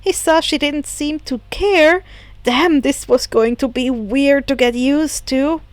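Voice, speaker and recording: A woman narrating fiction as a podfic reader, in an expressive storytelling style. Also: sits high, takes it slowly, no echo.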